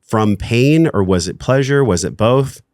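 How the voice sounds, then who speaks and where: A man's voice: mid-range voice, expressive, unhurried, darkish tone, no echo, studio quality. A man hosting a podcast interview, asking curious questions in a blunt, casual way and swearing freely. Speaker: podcast host.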